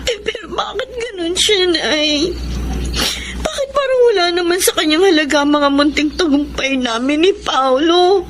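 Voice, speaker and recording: High-voiced, emotive young daughter. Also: female voice, expressive, moderate pace. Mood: tearful, hurt. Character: sensitive student daughter, hurt and yearning for approval. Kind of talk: emotional Tagalog radio drama acting. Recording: noisy background under voice.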